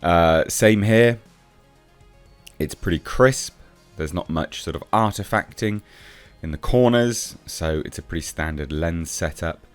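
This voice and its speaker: A man's voice: sits low, measured pace, faint music behind. A man giving casual, off-the-cuff commentary on film news as a reviewer, blunt and sceptical in manner. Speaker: film reviewer, channel host.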